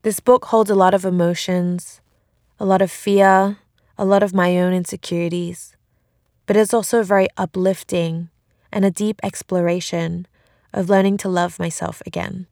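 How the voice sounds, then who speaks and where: A woman's voice: fairly low pitch, little pitch movement, normal speed, a little mellow, dry recording, studio quality. A woman who is an author, speaking reflectively about her writing and reading her memoir.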